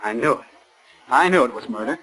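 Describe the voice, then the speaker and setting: An actor playing a glib, bitter fortune-hunting fiancé, sarcastic and casual, in 1940s radio style. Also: male voice, medium pitch, animated, fairly quick, pushed and bright, low-quality recording. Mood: mocking. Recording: 1940s radio broadcast, faint music.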